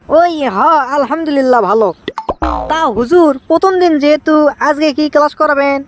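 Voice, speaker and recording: High-pitched, whiny cartoon son. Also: male voice, normal speed, a little hiss or hum. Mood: polite. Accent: Bogura, northern Bangladesh. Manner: whiny, pleading, exaggerated. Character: impatient, whiny young son desperate to get married. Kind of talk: comic cartoon dialogue.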